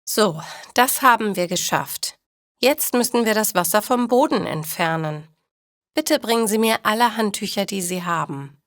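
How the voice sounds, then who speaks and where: A woman's voice: medium pitch, expressive, normal speed, a bit bright, dry recording, lightly acted. A cheerful, practical bakery owner from a storybook, played by a woman narrator, speaks emphatically and with animation. Her voice sits mid-range in a dry recording. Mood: brisk.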